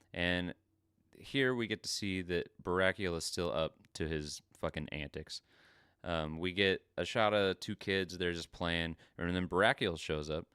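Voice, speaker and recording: A man with a very low, clear voice hosts a podcast. He recaps stories casually and with swearing, often halting as he explains. The sound is close and dry. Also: fairly bright tone, dry recording. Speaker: podcast host. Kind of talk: casual review and story recap.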